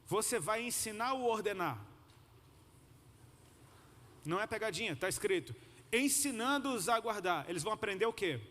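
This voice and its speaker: High-voiced Brazilian preacher in an echoey hall. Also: male voice, animated, normal speed, long pauses, fairly bright tone. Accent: Brazilian Portuguese. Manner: colloquial, slangy, gets listeners to repeat phrases. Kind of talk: conversational sermon with personal anecdotes. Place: large hall, preaching to a congregation. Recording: echoey hall with noticeable background noise.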